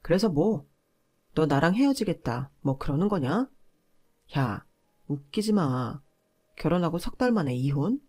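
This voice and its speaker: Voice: mid-range voice, expressive, normal speed, long pauses, a little mellow, natural voice. A narrator voicing an arrogant, domineering young husband in a marriage story, scornful and confrontational. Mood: mocking.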